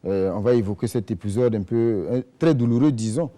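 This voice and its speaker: A man's voice: medium pitch, fairly quick, dark tone. A man hosting a formal TV interview show, asking long, wordy questions with fillers. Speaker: TV talk show host.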